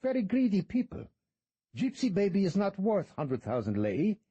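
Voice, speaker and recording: A man voicing a tall, greedy guide who barks in broken English, pushed much higher than his own voice. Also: huge pitch swings, normal speed, dark tone, breathy edge, no room sound, highs cut off, heavily acted. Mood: scornful. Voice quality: raised, strained pitch. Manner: barking, brusque broken English. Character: menacing greedy male Romanian guide, tall.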